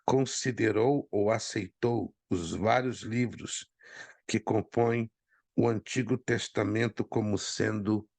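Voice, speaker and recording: A man, hoarse from a cold, teaching an online Bible class and calling on students by name. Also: fairly low pitch, no echo. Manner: repeats key points for emphasis.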